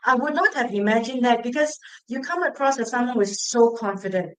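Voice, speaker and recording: A woman's voice: fairly high pitch, fairly quick, rough recording. A woman from Singapore, hosting a casual talk show and asking her guest rambling, restarting questions. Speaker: podcast host. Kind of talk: casual conversation.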